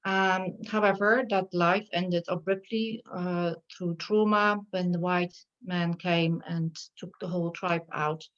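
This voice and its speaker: A woman with a Dutch accent, a shamanic healer telling her story in an interview, pausing often with fillers. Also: fairly low pitch, steady tone, a bit bright. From Netherlands. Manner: hesitant, many fillers. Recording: dull lo-fi sound.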